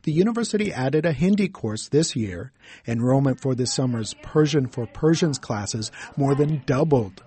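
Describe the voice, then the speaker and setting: A man reporting a news feature in scripted, measured narration. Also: medium pitch, animated, mellow.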